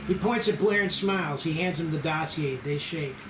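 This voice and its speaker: A man narrates stage directions at a screenplay table read. His voice sits a little high, heard in an echoey room with clear background noise. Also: moderate pace.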